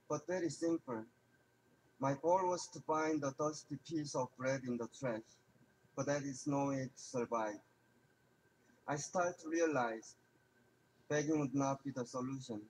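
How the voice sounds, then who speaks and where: Young adult male. Measured, earnest prepared speech. Faint background noise. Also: medium pitch, a little mellow, low-quality recording. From North Korea. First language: Korean.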